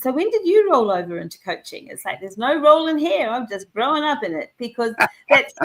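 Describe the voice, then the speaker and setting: A confident woman, talking on a video call. Her voice sits a little high, and she speaks in long, energetic runs with quick restarts, sure of her expertise. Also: low-quality recording. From New Zealand. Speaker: wellness and business coach. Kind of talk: conversational interview.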